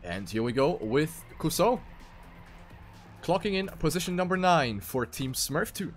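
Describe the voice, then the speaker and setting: A man's voice: a little high, big pitch swings, unhurried. A man doing live commentary on an esports racing game as one of the casters. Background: music.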